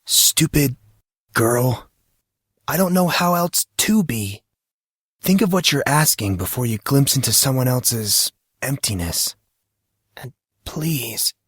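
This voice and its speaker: A man voicing a haunted, self-doubting teenage boy in a halting, emotional way for an audio drama. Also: mid-range voice, lively intonation, a bit bright, husky, dry recording, studio quality, voice pushed up, full character voice. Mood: pleading, wounded.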